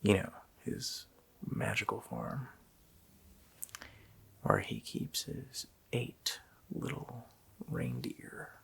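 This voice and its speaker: A man voicing a sadistic, joking Christmas demon in a gravelly, whispered ASMR roleplay, with a slow, mockingly playful menace. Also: on the low side, huge pitch swings, full character voice. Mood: sinister, scornful. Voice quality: gravelly whisper with creaky growl.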